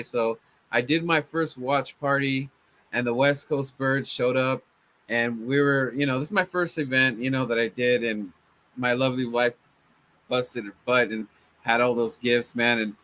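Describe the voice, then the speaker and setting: A man hosting a casual sports fan chat, his voice a little hoarse from chanting, laid-back and often saying "man". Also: medium pitch, sharp tone. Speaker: fan channel host.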